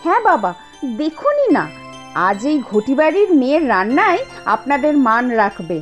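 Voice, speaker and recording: Young daughter-in-law, family cartoon female actor: dutiful, deferential, high pitch, animated melody. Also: moderate pace. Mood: eager, attentive. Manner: polite, deferential. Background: soft background music.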